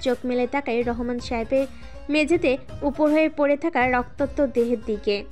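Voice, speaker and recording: A woman's voice: high-pitched, normal speed, a little mellow, faint music behind. A woman reading scripted story narration as the narrator of an audio tale.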